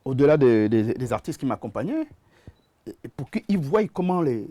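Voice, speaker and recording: A man with a Gabonese French accent, a musician and producer speaking as a guest in a TV studio interview, halting and often repeating his words. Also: mid-range voice, very animated, dark tone, no room sound.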